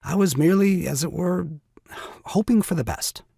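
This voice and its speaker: An actor playing a glib, evasive young husband, self-justifying, in a theatrical period farce audio drama. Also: male voice, high voice, normal speed, gravelly, warm tone, husky, no echo, clean studio sound, full character voice. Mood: glib, defensive.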